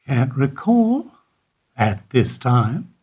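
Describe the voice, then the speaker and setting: A man acting in a radio play as an evasive older bank employee who answers in a guarded, deliberate way. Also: mid-range voice, sing-song, a little mellow, breathy edge, full character voice. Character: evasive older male bank foyer employee under questioning.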